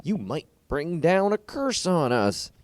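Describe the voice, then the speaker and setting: Cautious old male villager, voiced by a male narrator: slow, scolding, higher-pitched storybook delivery. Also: big pitch swings. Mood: warning.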